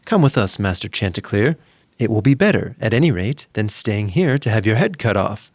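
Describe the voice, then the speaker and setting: Man voicing a friendly old storybook donkey, animated. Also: lowish voice, moderate pace, no echo. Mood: cheerful, persuasive. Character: sly old male donkey, friendly and encouraging.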